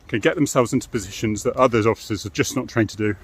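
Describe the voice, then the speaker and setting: A man's voice: on the low side, quick pace, slight background noise. British man, middle-aged, frank and matter-of-fact. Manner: matter-of-fact, frank, with rhetorical tag questions. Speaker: former police firearms officer, presenter. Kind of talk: informal explanatory monologue to camera.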